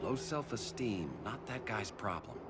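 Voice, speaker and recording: Wisecracking sardonic vigilante. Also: male voice, lowish voice, expressive, unhurried, very noisy. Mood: wry. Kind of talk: dry quips in game cutscene.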